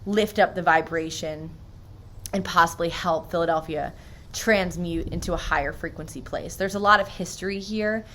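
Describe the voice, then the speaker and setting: A woman's voice: on the low side, normal speed, fairly bright tone, a little hiss or hum. A woman from New Jersey with an American accent, sharing personal stories and spiritual reflections in an informal, earnest way. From New Jersey, United States. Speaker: spiritual vlogger.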